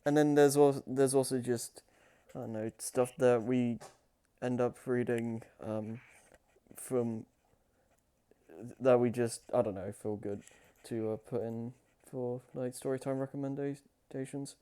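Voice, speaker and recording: A man with a mellow, smooth voice at medium pitch co-hosts a casual stream. He sounds tired and speaks tentatively, often halting mid-thought. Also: steady tone. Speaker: co-host. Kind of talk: casual livestream chat.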